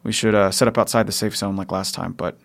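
Man playing low, gravelly survivor father. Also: steady tone, fast talker. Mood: practical, uneasy. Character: protective, grieving survivor father in a post-apocalypse.

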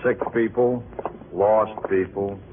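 An actor playing a smug, mock-gentle male nurse at a shady sanitarium in a 1950s radio crime drama. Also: lowish voice, steady tone, takes it slowly. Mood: smug, insinuating. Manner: mock-gentle, smug, calls everyone chum. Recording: muffled, noisy old radio recording.

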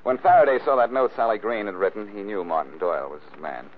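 An old, narrow-band radio recording with faint noise carries a man playing a sardonic sleuth. He is cool and wisecracking, with a lowish voice that swings widely as he needles and explains, in 1940s drama style. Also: animated, fast talker, natural voice. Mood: explaining.

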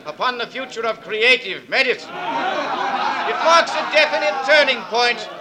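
A man's voice: extremely high pitch, measured pace, over a noisy crowd, heavy background noise. A male actor in a 1940s radio drama playing an earnest physician who speaks formally. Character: earnest male physician testifying for a new discovery.

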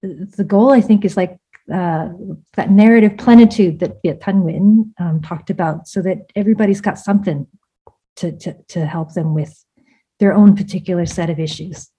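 A woman from Vietnam, an author talking through her thoughts in a relaxed interview, with lots of fillers and restarts. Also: lowish voice, normal speed, breathy edge, rough recording. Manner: reflective, many fillers and restarts, laughs often. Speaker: author and guest. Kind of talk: casual interview conversation.

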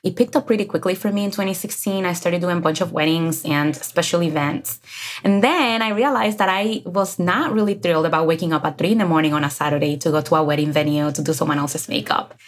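An energetic woman in her thirties from the Dominican Republic, speaking with a Dominican Spanish accent as a guest on an interview podcast, who laughs often. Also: on the low side, fast talker. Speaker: guest, career coach. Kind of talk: casual interview chat.

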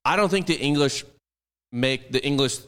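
A man's voice: medium pitch, normal speed, fairly bright tone, smooth. A man co-hosting a sports podcast in casual, rambling conversation with frequent swearing. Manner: rambling, profane.

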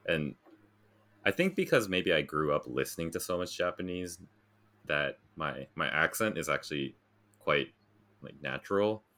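An American man with an extremely low voice that still has a bright, forward edge. He talks casually, like a guest telling his own story, informal and a little self-deprecating. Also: very animated, moderate pace. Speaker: interview guest, graphic designer.

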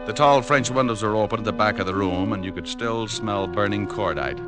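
A suave hardboiled American detective, played by a man in an old radio show. His voice is on the low side, wry and unhurried, full of wisecracks. Music plays beneath the broadcast. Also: lightly acted. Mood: wry narration. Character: suave, quick-witted, wisecracking private detective. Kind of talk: old-time radio detective acting and narration.

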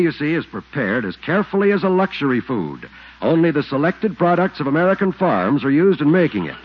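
A man's voice: on the high side, slightly rough. A man announcing on 1940s radio in a polished, persuasive scripted sales style. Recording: muffled 1940s radio recording, music underneath.

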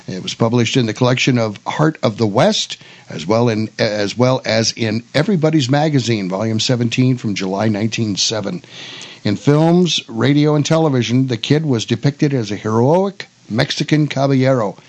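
A man hosting a talk show, mixing casual chat with read notes, halting and repeating words. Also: mid-range voice. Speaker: radio show host.

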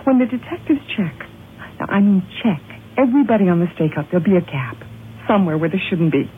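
An actress playing an earnest, intense woman in a radio drama, speaking in urgent, halting, insistent phrases. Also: lowish voice, lightly acted. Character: earnest, intense woman piecing together a murder, growing afraid. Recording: noisy, phone-like old radio broadcast.

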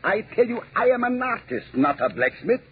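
An actor playing a touchy, excitable violinist who complains and stammers in an old radio drama. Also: male voice, high voice, moderate pace, a little hiss or hum. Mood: indignant. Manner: indignant complaining, stammers when scared. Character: excitable, fussy male orchestra violinist. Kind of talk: 1930s radio drama acting. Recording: tinny old radio broadcast.

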